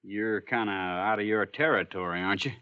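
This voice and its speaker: An actor playing a cocky, defiant young outlaw in a western radio drama, brash and boastful with folksy speech. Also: male voice, on the low side, brisk, smooth, close to an everyday voice. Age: young adult. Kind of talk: 1940s-50s western radio drama acting. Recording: muffled old-time radio recording.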